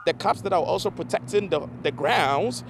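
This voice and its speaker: High creaky-voiced man, rambling eyewitness. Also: expressive, moderate pace. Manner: rambling, stumbling phrasing. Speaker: eyewitness interviewee. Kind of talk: spontaneous eyewitness account. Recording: noisy street with sirens.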